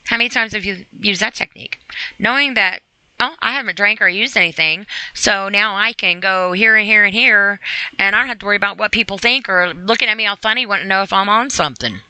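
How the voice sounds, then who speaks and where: Female self-help podcast host, bright forward voice, close dry sound, earnest and informal. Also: mid-range voice, fairly quick, dry recording, rough recording. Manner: conversational, earnest, rhetorical questions to the listener. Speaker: podcast host reading and commenting on a sobriety e-book. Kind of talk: reading aloud with informal motivational commentary.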